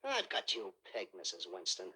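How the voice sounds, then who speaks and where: An actor playing a cynical, cocky private detective in a vintage radio suspense drama, slangy and needling. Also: male voice, sits low, wildly swooping pitch, normal speed, bright, forceful tone, husky, exaggerated voice. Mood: indignant boasting. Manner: slangy, tough-talking, needling.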